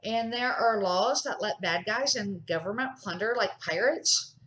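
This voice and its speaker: A woman's voice: medium pitch, measured pace, a bit bright, lightly acted, rough recording. A woman reading a children's storybook as a curious, earnest young boy with a simple, eager manner. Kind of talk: children's picture-book read-aloud.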